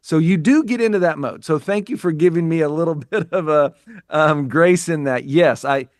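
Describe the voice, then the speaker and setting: A man's voice: a little high, normal speed, no echo, studio quality. A man from the United States, a business coach and podcast guest, speaking earnestly and reflectively with frequent restarts. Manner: earnest, reflective, talks in restarts and repeated phrases. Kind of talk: conversational interview.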